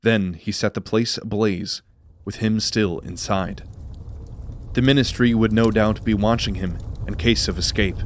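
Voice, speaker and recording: A man's voice: fairly low pitch, steady tone, measured pace. A man reading scripted narration for a fantasy audio drama in a serious, brooding storytelling manner.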